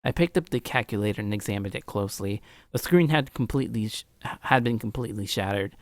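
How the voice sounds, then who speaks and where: A man's voice: fast talker, dry recording. A man livestreaming a let's play, reading story narration aloud with casual asides, often stumbling and rereading phrases. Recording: low-quality stream audio, music behind. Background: music.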